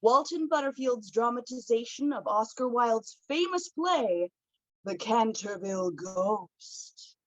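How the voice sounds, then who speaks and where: A woman's voice: fairly high pitch, expressive, takes it slowly, no echo. A woman performing in a scripted radio play. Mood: announcing. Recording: slightly dull, dry recording.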